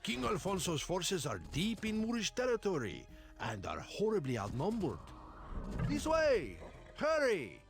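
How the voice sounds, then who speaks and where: A man's voice: sits high, wildly swooping pitch, a little slow, gravelly, over music, full character voice. A man acting a frantic medieval messenger in a video game, urging his lord on. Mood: urgent.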